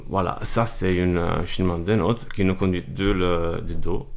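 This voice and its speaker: A man with an Italian accent and a very deep voice talks as a guest in a casual interview. He searches for his words and restarts often, working his ideas out thoughtfully. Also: fairly even intonation, normal speed. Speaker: musician guest.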